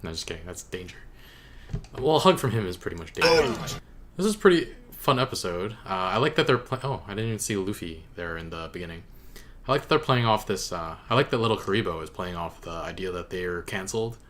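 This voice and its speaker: A man hosting a reaction video, giving casual commentary in a halting way as he thinks aloud. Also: low-pitched, lively intonation, a bit bright, slight background noise.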